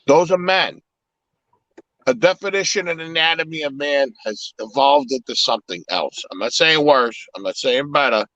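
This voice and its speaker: A man's voice: on the high side, big pitch swings, moderate pace, creaky, sharp tone, dry recording, muffled recording. A man whose first language is Italian, talking in a casual, reflective way on a live podcast, with many restarts. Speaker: podcast host.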